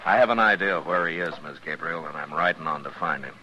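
Deep, forceful frontier marshal. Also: male voice, quick pace, heavily acted. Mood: calm. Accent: American. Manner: firm, plain-spoken. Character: steady, commanding male frontier marshal. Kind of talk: old-time radio western acting. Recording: dull, hissy vintage radio recording.

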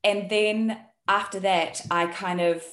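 A woman with a New Zealand accent, a coach and guest on a podcast, talking in a relaxed, open way with many ums. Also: medium pitch, slow pace, reverberant. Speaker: guest, health and performance coach. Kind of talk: casual interview chat.

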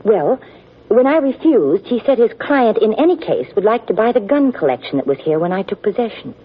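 A woman's voice: mid-range voice, very animated, a little fast, husky. A woman acting a guarded estate owner in a 1940s radio drama. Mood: anxious, forthcoming. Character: crisp, guarded lady owner of a country estate. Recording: muffled, hissy 1940s radio recording.

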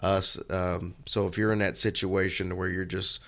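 Raspy-voiced man with a cold. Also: sits low, little pitch movement, normal speed, fairly bright tone. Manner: earnest, rambling with fillers. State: hoarse from a cold. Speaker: podcast co-host. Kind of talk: casual chat.

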